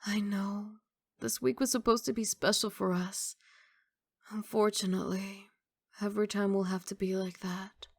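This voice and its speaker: A woman playing a guarded but tender mafia boss girlfriend in an intimate ASMR roleplay, speaking softly and in a measured, wistful way. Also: medium pitch, fairly even intonation, gravelly, breathy edge, no echo, studio quality. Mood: serious, regretful.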